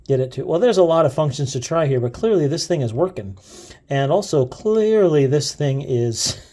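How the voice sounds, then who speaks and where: A man's voice: mid-range voice, dark tone. A man hosting a casual, unscripted gadget demo, hesitating and thinking aloud as he fiddles. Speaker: gadget video host.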